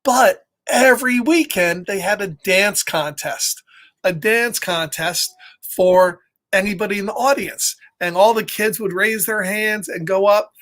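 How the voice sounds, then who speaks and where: A middle-aged man reading aloud and telling casual stories to children in an encouraging, expressive, chatty way. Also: high-pitched, a little slow. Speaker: storytime reader for children. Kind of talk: animated read-aloud and casual personal storytelling.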